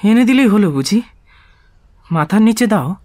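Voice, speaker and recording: Querulous, higher-voiced sick husband. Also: male voice, animated, moderate pace, dark tone. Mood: irritable, accusing. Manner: querulous, insistent, accusing. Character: bitter, demanding, suspicious sick husband. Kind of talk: radio drama acting.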